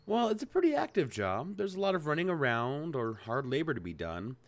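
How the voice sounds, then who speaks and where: A no-nonsense young farm woman, read by a man in a lively, animated voice. She explains things briskly and plainly, rising and falling as she goes. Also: a little high. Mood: matter-of-fact.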